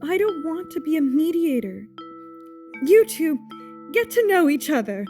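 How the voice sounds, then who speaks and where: A woman's voice: high voice, lively intonation, unhurried, mellow, faint music behind. A woman playing a patient but firm, weary pregnant wife in a radio play.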